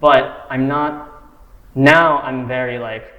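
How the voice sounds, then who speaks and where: Young guy, mid-pitched voice, thinks out loud carefully, echoey room. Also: steady tone, lo-fi sound. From United States. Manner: thoughtful, measured explaining. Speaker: discussion participant. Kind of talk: casual discussion. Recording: roomy echo.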